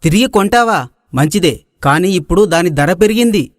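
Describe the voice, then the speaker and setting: A man's voice: sits high, lively intonation, moderate pace, no echo, clean studio sound. A man playing a kindly, indulgent older village landowner in a dramatized moral story. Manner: indulgent, genial.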